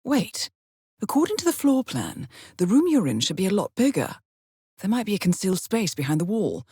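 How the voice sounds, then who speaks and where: An actress playing a cool, composed intelligence handler in a video game, briefing an assassin with articulate dry wit. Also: female voice, medium pitch, very animated, brisk, warm tone, husky, dry recording. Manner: composed, dryly witty, articulate. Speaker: mission handler.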